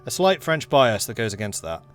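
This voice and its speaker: A man's voice: medium pitch, normal speed, soft background music. A young man with an English accent, casually chatting with viewers and reading game events aloud as he plays. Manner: relaxed, rambling, reads game events aloud. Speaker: streamer.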